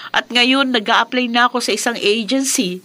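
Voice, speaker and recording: A woman's voice: fairly high pitch, normal speed, sharp tone, dry recording. An actress playing a plucky, determined young working-class woman in a Filipino radio drama, with a Filipino accent. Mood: proud, earnest.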